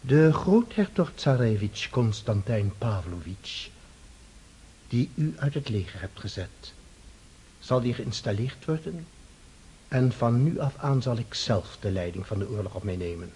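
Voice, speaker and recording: An actor playing a stern, reproachful tsar who talks imperiously and coldly in a radio play. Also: male voice, mid-range voice, animated, measured pace, warm tone, a little hiss or hum, natural voice, poor audio.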